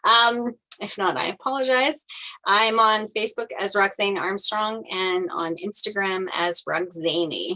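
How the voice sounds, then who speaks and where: A woman's voice: fairly high pitch, sharp tone, low-quality recording. A woman, an actor casually introducing herself. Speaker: actor, table read narrator.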